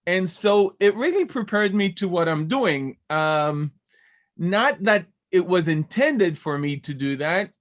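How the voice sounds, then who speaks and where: A man's voice: sits high, animated, measured pace. A middle-aged man from Israel with an Israeli accent, a native Hebrew speaker talking as a guest, talkative and digressive with many fillers and restarts. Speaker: guest, biblical languages professor. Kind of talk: conversational interview.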